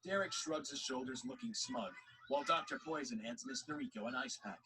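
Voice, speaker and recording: A man's voice: a little high, big pitch swings, a little fast. A man reading scripted story narration for an audio drama. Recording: faint background noise.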